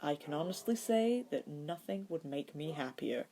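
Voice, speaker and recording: A woman's voice: deep voice, lively intonation, normal speed, mellow, a little hiss or hum. A woman voicing a wry, composed, tender man in an acted fan fiction reading. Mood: tender, amused.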